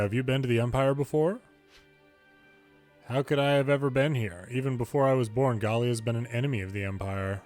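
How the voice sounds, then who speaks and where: Man with a mid-range voice for reading game cutscene dialogue with light character acting, faint music underneath. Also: mid-range voice. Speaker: fan reader of game dialogue. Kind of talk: reading game story dialogue aloud in English.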